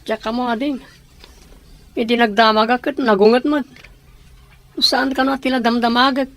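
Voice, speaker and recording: A woman playing a hurt, resigned boy, the older brother, glum and quietly bitter in a radio drama. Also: fairly high pitch, steady tone, moderate pace, lightly acted.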